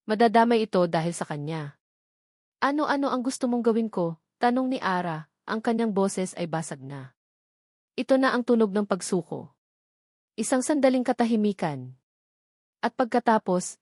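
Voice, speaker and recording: Female storybook narrator, even and measured, mid-pitched, dry close sound. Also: dry recording. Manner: even, measured reading with short dramatic sentences.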